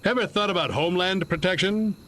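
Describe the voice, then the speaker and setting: An actor playing a slick male government agent in a 1950s-set video game, speaking like an earnest, patronizing pitchman. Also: brisk, sharp tone. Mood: persuasive.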